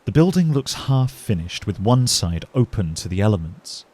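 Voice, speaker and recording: A man narrating a scripted fantasy story in a measured, dramatic storytelling manner. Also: on the low side, big pitch swings, darkish tone. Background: music.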